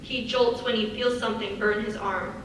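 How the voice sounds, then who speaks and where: A woman's voice: a little high, fairly even intonation, normal speed. A woman reading stage directions as scripted narration. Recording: echoey hall, far from mic, faint noise.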